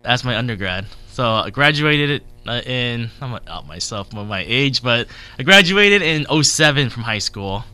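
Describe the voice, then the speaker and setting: A man's voice: mid-range voice, lively intonation, moderate pace, few pauses, bright, forceful tone, clear, resonant tone. A man in his thirties, born in Vietnam and raised in Southern California, chatting as an interview guest in a rambling, easygoing way with lots of fillers. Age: 30s. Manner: rambling, chatty, laughs easily, many fillers. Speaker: interview guest, technology consultant. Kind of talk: casual interview.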